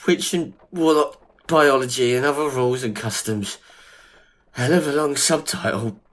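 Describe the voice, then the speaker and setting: A man's voice: medium pitch, normal speed, close to an everyday voice. A man acting a chatty, jittery, squeamish sidekick in an audio drama. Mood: chatty, bemused.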